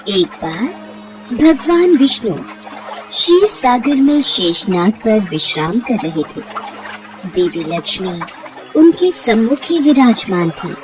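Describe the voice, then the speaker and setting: Expressive woman narrating, fairly high voice. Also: slow pace, over music, low-quality recording. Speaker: storyteller. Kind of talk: scripted devotional story narration.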